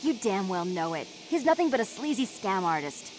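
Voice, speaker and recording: A woman's voice: mid-range voice, very animated, normal speed, noisy background, natural voice. An actress voicing a jaded, blunt young woman in a video game, exasperated and plain-spoken. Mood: exasperated, bitter. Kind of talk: video game character dialogue.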